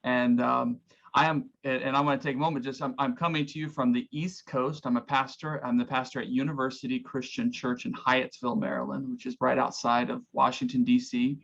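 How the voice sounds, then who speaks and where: A man from Oklahoma, a pastor, giving earnest, reflective and somewhat halting opening remarks over a video call. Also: medium pitch, flat delivery, creaky, a bit bright. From Oklahoma, United States. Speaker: pastor, guest speaker. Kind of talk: informal opening remarks of a talk. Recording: dull, lo-fi video call audio.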